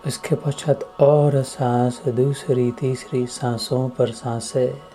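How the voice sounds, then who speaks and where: Very slow, mellow man guiding meditation. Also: mid-range voice, little pitch movement, faint music behind, low-quality recording. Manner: solemn, deliberate, short phrases with long pauses. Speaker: spiritual teacher leading guided meditation. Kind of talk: slow devotional meditation discourse with chanted verses.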